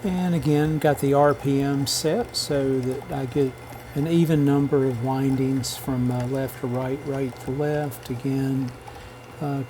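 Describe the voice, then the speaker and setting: A man narrating a vintage radio repair as a relaxed how-to voice-over, matter-of-fact and unhurried, with frequent "uh" pauses. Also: mid-range voice, noisy background. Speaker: radio restoration hobbyist.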